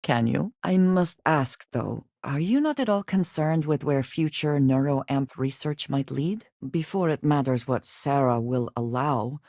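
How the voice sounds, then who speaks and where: A woman playing a guarded, earnest space explorer in a video game, speaking in measured, formal and precise phrases. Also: very deep voice, expressive, a little mellow, no echo, clean studio sound, exaggerated voice. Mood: reflective, earnest. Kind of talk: video game character dialogue lines.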